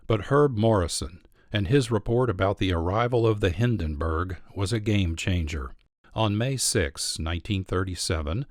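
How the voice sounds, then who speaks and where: A man's voice: sits low, no echo, studio quality. A man with an American accent hosting a radio program with scripted documentary narration. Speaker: radio show host.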